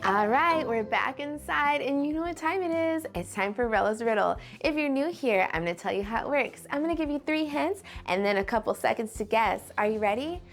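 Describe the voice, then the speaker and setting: A woman hosting a read-aloud show for young children, talking to them directly in an animated, encouraging way. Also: fairly high pitch, a little fast, hardly any pauses, faint music behind.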